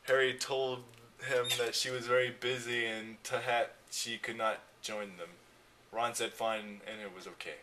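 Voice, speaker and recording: A man's voice: mid-range voice, steady tone, pushed and bright. A young man reading amateur fan fiction aloud in a mock-dramatic storybook style, prone to breaking into laughter. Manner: reads clumsy wording deliberately, often breaking into laughter. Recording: noisy amateur recording with clicks.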